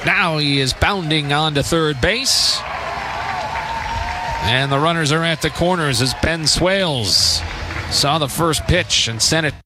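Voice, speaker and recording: A man doing live radio baseball play-by-play, unhurried between pitches and lifting his delivery when there is action. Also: crowd noise. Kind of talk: live radio sports commentary. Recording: clearly noisy outdoor broadcast ambience.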